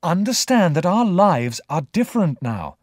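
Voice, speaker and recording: A male reader acts a young storybook gentleman in his twenties, polite but uneasy. He speaks formally and emphatically, with a raised pitch that swings about, recorded dry. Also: very animated, a little slow, dry recording, full character voice. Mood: firm, uneasy.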